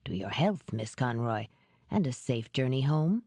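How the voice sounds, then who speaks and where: Woman narrator as older male executive, audiobook character, very low pitch, mellow, courteous, calculating, measured and formal. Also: expressive, breathy edge, dry recording, exaggerated voice. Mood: kindly. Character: smooth, powerful older businessman, solicitous, calculating and courteous.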